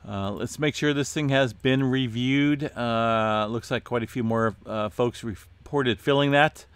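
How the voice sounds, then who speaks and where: A man hosting an earthquake update, talking casually through his screen with many fillers and a voice going hoarse. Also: medium pitch, unhurried, no room sound. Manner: relaxed, many "uh" fillers, addresses viewers as folks. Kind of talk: casual screen-share commentary.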